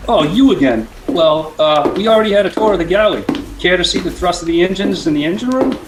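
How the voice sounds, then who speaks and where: Radio comedy ship's chef, male actor: highish voice, boisterous clown and flirt, noisy recording. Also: lively intonation, brisk, reverberant. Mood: flirty. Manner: clowning, exuberant. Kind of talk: radio drama acting.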